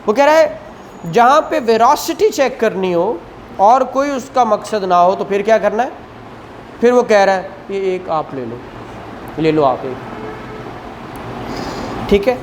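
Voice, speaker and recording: Slow-paced Pakistani man teaching law, noisy recording. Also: high voice, animated. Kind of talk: explanatory law lesson, reading statutes aloud then paraphrasing.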